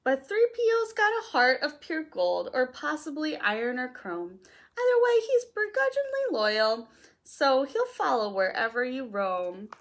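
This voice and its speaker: Storytime reader, female, higher-pitched, very lively and expressive, with a playful lilt for a young audience. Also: a little slow. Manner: expressive, playful, sing-song delivery. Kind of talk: animated read-aloud of a rhyming children's picture book.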